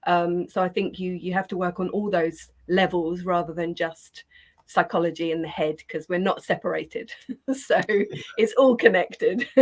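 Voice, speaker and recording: A woman's voice: on the low side, measured pace. A woman guest, a positive psychologist, chatting casually in an interview and laughing often, from her garden shed.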